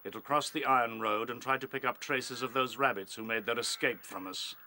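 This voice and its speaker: An actor playing a commanding rabbit officer in a radio play, clipped, condescending and authoritative. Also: male voice, medium pitch, steady tone, fairly quick, sharp tone, a little hiss or hum.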